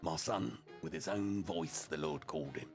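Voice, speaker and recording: A man's voice: extremely low pitch, normal speed, faint music behind. A man voicing a stern, exasperated middle-aged father in a humorous story reading.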